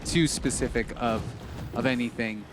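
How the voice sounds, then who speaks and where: A man co-hosting a game stream, chatting in a rambling, halting way with many fillers. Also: mid-range voice, background noise. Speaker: stream co-host. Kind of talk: casual gaming chat.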